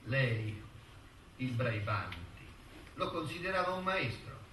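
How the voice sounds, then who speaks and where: Pushy accusing lawyer in a courtroom play, really sharp forceful voice, roomy stage sound. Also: male voice, medium pitch, very animated, slow pace, heavily acted. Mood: probing. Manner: hectoring, repeats questions insistently. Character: insistent, accusatory male lawyer interrogating a witness. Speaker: stage actor. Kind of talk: theatrical courtroom drama acting. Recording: roomy, distant stage recording with faint noise.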